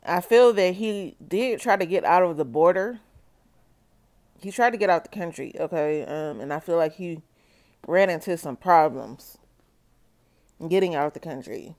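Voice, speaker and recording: A woman doing a solo tarot reading for her viewers, talking slowly and checking in with "okay?". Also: lowish voice, animated, darkish tone. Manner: slow, measured, repeats "okay?" to viewers. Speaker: tarot reader.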